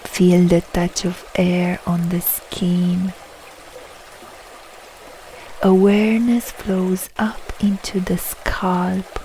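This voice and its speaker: Very slow, mellow woman guiding meditation. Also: mid-range voice, slight background noise, low-quality recording. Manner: soothing, unhurried, soft short phrases with long pauses. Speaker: yoga nidra meditation guide. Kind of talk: slow guided relaxation meditation.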